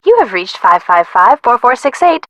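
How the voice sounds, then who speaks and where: A woman's voice: a little high, very animated, moderate pace, fairly bright tone, dry recording, dull audio. A woman voicing a polite recorded answering machine greeting in a video game. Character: female voicemail greeting voice.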